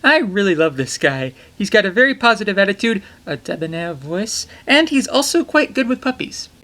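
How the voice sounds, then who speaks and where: A man's voice: high voice, sing-song, normal speed, pushed and bright. A young man narrating a comedic film review from a small bedroom, with jokey, enthusiastic asides.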